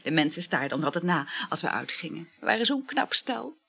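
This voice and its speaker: A woman's voice: on the low side, big pitch swings, a little fast, gravelly, pushed and bright, slight background noise, exaggerated voice. An actress in a radio play, playing a flirtatious, gushing woman who juggles several lovers and chats effusively with sighing exclamations. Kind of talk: naturalistic radio play acting.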